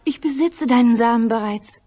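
A woman playing an eerily calm, obsessive geneticist in a German crime radio play, speaking in a measured, intimate way with a breathy, husky voice. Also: fairly high pitch, close to an everyday voice. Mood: coldly matter-of-fact. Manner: measured, intimate, matter-of-fact about chilling things. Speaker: actor in a radio crime drama.

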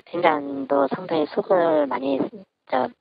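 A woman's voice: sits high, animated, unhurried, gravelly. A woman speaking hesitantly as customer service staff in a muffled phone conversation. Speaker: insurance consumer centre staff. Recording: muffled phone call, faint music.

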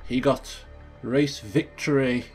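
A man hosting a casual gameplay commentary, thinking aloud and correcting himself as he goes, his voice a little croaky from just waking up. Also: medium pitch, fairly even intonation, slow, faint music behind. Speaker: let's play host.

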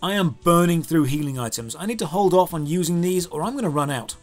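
A man's voice: on the high side, lively intonation, fast, faint music behind. A man narrating a scripted comedic game review with a sarcastic, exasperated manner.

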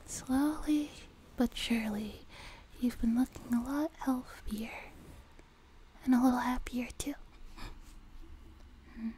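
A woman playing a sweet, nurturing young demon girl in an ASMR roleplay, speaking in a soft, breathy whisper with a gentle, reassuring manner. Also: fairly high pitch. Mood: tender, gently teasing. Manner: gentle, reassuring, slow and unhurried. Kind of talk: whispered ASMR roleplay, intimate personal attention. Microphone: close binaural microphone. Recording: noticeable background noise, close binaural mic.

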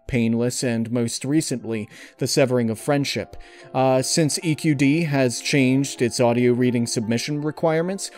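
Guy answering interview questions, kinda halting and wordy, mid voice, faint music under it. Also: medium pitch, darkish tone. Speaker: interviewee, audio story reader. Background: music behind the voice.

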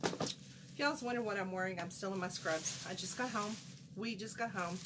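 A middle-aged woman, chatting through a home haul vlog, folksy and animated, a little nasal from a cold, filmed in a small room. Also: mid-range voice, normal speed, very noisy. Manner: folksy, animated, chatty, wanders off mid-sentence. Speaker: haul vlogger.

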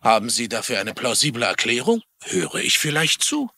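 A man's voice: medium pitch, very animated, moderate pace, pushed and bright, full character voice. An actor playing a skeptical, wry male police lieutenant in a German TV drama dub. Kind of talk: German TV dubbing.

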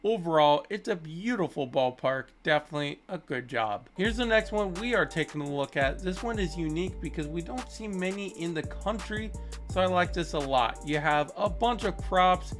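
A man's voice: on the high side, lively intonation, normal speed, fairly bright tone, faint music behind. Upbeat young man touring game stadiums. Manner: upbeat, enthusiastic, praising. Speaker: gaming video creator. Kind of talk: casual game commentary. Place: small indoor room, webcam corner.

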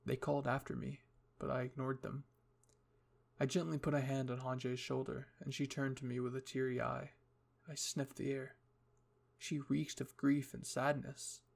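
A man's voice: mid-range voice, flat delivery, moderate pace, gravelly. A man reading a scripted story in the first person as its narrator. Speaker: fan fiction reader.

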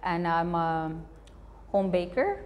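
A woman from India introduces herself as a podcast guest, pausing often as she speaks. Her voice is low for a woman, and she talks very slowly. Faint café noise sits behind her. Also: very animated, dull audio. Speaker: guest, home baker. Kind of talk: casual self-introduction.

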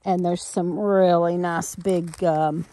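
A woman's voice: fairly low pitch, steady tone, slow, mellow. Chatty woman foraging outdoors. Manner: chatty, folksy, laughs easily, many fillers. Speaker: homestead vlogger. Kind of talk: casual walk-and-talk narration. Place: outdoors in the woods behind a farmhouse. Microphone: handheld camera while walking.

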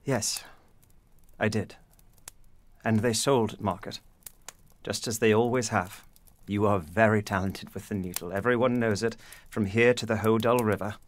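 An actor playing a weary widowed father in a period audio drama, speaking earnestly and haltingly in formal phrasing. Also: lowish voice, steady tone, close to an everyday voice. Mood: hesitant.

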